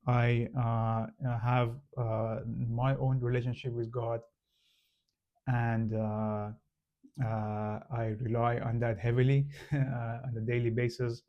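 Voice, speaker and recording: A man from Iran with a Persian accent, a native Farsi speaker, casually explaining to the camera with many pauses, fillers and restarts. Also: mid-range voice, level tone, muffled recording. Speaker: channel host.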